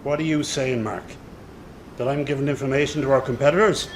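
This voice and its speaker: A man's voice: mid-range voice, fairly quick, a little hiss or hum, lightly acted. A man playing a sly, insolent circus hand in a radio drama, with a mocking, smug way of talking. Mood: defiant. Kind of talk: naturalistic radio drama acting.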